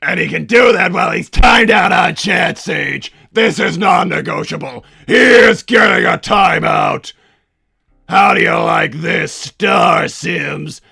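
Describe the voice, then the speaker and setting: A man voicing a pompous, hot-tempered cartoon villain doctor and strict, doting papa, raspy and blustering, chatting in character on a livestream. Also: high voice, takes it slowly, pushed and bright, no echo, shouting, clean studio sound, faint music behind, heavily acted. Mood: indignant, firm. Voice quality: gravelly, raspy. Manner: blustering, bombastic. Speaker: livestream host.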